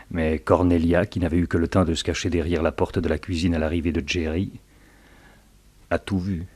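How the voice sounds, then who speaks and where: An actor playing a scheming, taunting crook in a crime radio drama, speaking with deliberate, sardonic emphasis. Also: male voice, sits low, level tone, a little mellow, slightly rough. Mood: gloating. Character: scheming male blackmailer, sly, taunting crook.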